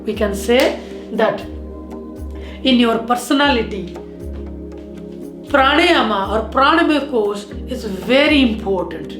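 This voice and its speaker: A woman teaching a lecture on yoga breathing practice, in a measured, explanatory way. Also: on the high side, animated, reverberant, over music.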